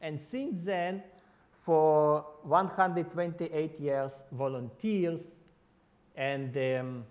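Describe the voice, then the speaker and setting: A man preaching in careful but halting English, his showing in his phrasing. He often restarts words and reaches for the right expression. His voice sits a touch higher than most men's. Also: darkish tone. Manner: halting non-native English with many restarts. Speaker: ministry worker. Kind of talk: sermon.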